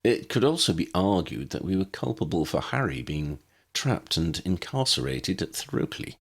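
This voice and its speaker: A man's voice: sits low, sing-song, normal speed, husky, full character voice. A man voicing a wordy, courteous, eccentric old man in an audiobook, with formal and ornate phrasing. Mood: pedantic. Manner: formal, ornate, pompous diction.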